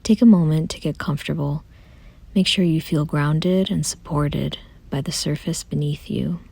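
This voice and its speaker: A woman softly guiding a meditation in a gentle, calm, unhurried way, often close to a whisper. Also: low voice, no room sound. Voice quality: soft, breathy, often near a whisper. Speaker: meditation guide. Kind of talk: soothing guided meditation, scripted.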